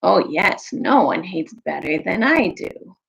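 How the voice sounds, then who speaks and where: A woman's voice: lowish voice, sing-song, slow, vocal fry, breathy edge, muffled recording, heavily acted. A woman voicing a fawning, spiteful old hag from a storybook, in a thin, whining, wheedling voice. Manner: wheedling, obsequious.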